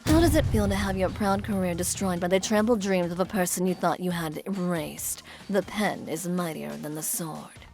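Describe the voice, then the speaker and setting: Woman acting a lowish-voiced, determined young older sister. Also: moderate pace, gravelly, hardly any pauses, a little mellow, soft background music. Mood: coolly taunting. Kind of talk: anime-style manga dub acting.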